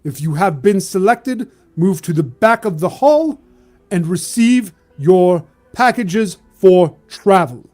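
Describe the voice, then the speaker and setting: Man as a loud ceremonial draft official. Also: high voice, animated, slow pace, voice pushed up. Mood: formal, solemn. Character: formal official announcing the names of draftees.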